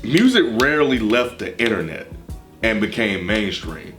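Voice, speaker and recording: A man's voice: lowish voice, sing-song, normal speed, fairly bright tone, soft background music. A man on a discussion panel, explaining and reminiscing in casual, slangy speech with some swearing. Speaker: music review panelist.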